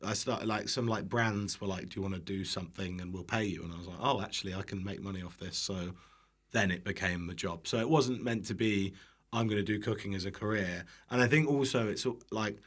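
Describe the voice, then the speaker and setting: A man's voice: lowish voice, fairly quick, fairly bright tone. Self-deprecating young British man chatting. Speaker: podcast guest, online cooking creator. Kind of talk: casual chat.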